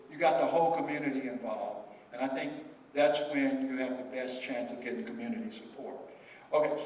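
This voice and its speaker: A man presenting at a public meeting, speaking with halting restarts and repeated words. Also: fairly high pitch. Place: large echoey meeting hall. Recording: echoey hall audio from far off, faint noise.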